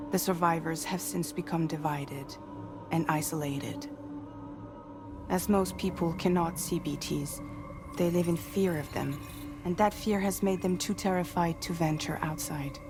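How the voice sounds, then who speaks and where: A low-pitched, composed woman played by an actress speaks in a cinematic video game. She is measured and earnest, explaining and persuading with care. Music plays clearly behind her. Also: fairly even intonation, lightly acted. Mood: grave, expository. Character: composed, persuasive woman recruiting a freelance courier.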